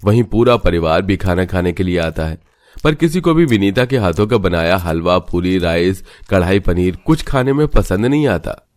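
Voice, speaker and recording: A man's voice: deep voice, animated, normal speed, a little mellow, no echo, clean studio sound. A man reading scripted narration as a story narrator.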